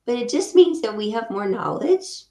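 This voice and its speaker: A woman's voice: on the high side, very animated, moderate pace, mellow, echoey room. A woman in her fifties hosting a podcast, speaking earnestly and supportively to her guest. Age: 50s. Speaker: podcast host. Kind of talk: podcast interview.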